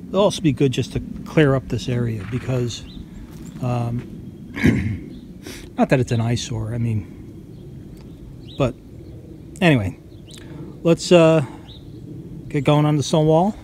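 A man narrates casually as he shows a how-to job on a farm. His voice sits at a medium pitch, and his delivery is folksy and plain-spoken. He is recorded outdoors on a handheld camera, with light noise in the background. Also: takes it slowly, long pauses. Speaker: farm vlogger.

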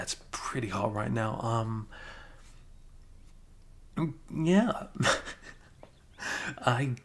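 A man playing a flustered, caring young male best friend with a crush, speaking haltingly in an improvised ASMR roleplay. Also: medium pitch, a bit bright. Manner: halting, bashful, chuckling, casual bro talk.

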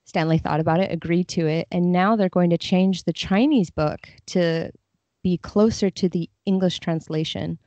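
A woman's voice: on the low side, steady tone, moderate pace, darkish tone, no echo, highs cut off. A woman talking casually and thoughtfully as a guest translator in a podcast interview, explaining her views.

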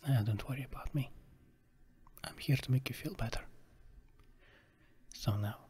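A man playing a gentle, caring boyfriend in an ASMR sleep roleplay, with a Russian accent and a soft, breathy, often whispered voice. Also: mid-range voice, normal speed. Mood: soothing, affectionate. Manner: soft, soothing, reassuring.

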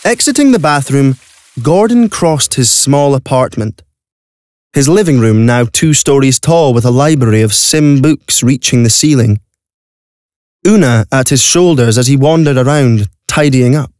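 A man narrates a story from a script. His voice sits at a medium pitch. It is recorded dry in a studio, with no room sound. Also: expressive, a little slow, a little mellow, no room sound.

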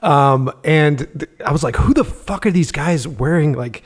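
Animated, laughing male podcast host. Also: fairly high pitch, moderate pace, husky. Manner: enthusiastic, laughs often, swears casually. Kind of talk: casual interview chat.

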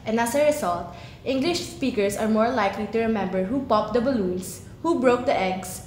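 A woman's voice: on the high side, slight background noise. Young woman reading in an echoey space. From Philippines. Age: young adult. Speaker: university student. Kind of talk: scripted class video presentation. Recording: echoey room sound.

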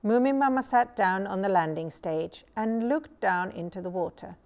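A woman's voice: medium pitch, normal speed, darkish tone. Middle-aged woman reading a children's story. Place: small furnished room indoors. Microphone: lapel mic.

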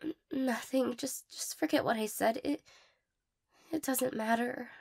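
Woman playing insecure young woman, romantic audio roleplay, high pitch, creaky fry, hesitant, stammering, apologetic, tearful, slipping into whispers. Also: measured pace, exaggerated voice. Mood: self-doubting, hesitant.

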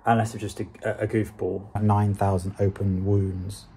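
A young man with a British accent talking casually to camera in a halting, understated way, with a husky, gravelly voice. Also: lowish voice, flat delivery, dark tone, slight background noise. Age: young adult. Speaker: vlogger. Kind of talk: casual vlog talk to camera.

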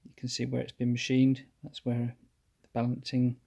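A man calmly explaining his engine rebuild step by step while he works at a cluttered workshop bench. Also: mid-range voice, gravelly. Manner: methodical, plain explanatory, pauses mid-phrase. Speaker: home mechanic rebuilding an engine. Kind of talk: hands-on workshop walkthrough.

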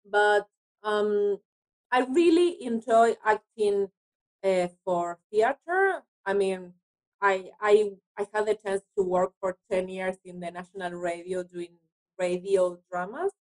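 A woman's voice: mid-range voice, slow pace, rough recording. A woman from Argentina, a native Spanish speaker with an Argentinian accent, talking conversationally as an interview guest about her work as an actress.

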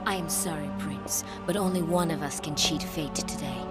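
A woman's voice: sits low, normal speed, close to an everyday voice. A woman playing a proud, scheming, fatalistic empress villain in a video game, with a solemn, theatrical intensity. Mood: regretful, cold. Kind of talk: dramatic video game voice acting. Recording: orchestral game score behind. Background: loud game music.

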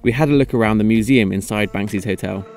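A man narrating his travel vlog in a measured, reflective scripted voice-over. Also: fairly low pitch, over music. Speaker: travel vlogger.

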